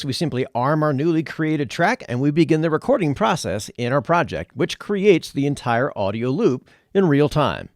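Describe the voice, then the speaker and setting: A man's voice: on the high side, no echo, studio quality. A man presenting an audio production tutorial, explaining things step by step. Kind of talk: tutorial narration.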